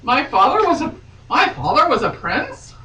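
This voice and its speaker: A woman voicing a dazed, melodramatic young woman who has just become a princess, squeaky when flustered. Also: big pitch swings, normal speed, bright, forceful tone, heavily acted. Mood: stunned. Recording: roomy, noisy, distant home microphone.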